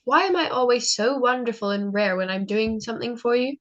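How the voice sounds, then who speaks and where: A woman playing a loving young wartime wife in a 1940s-style radio drama, affectionate and gently wry. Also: on the high side, fairly quick, lightly acted. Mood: wry, teasing. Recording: dull muffled audio, faint music.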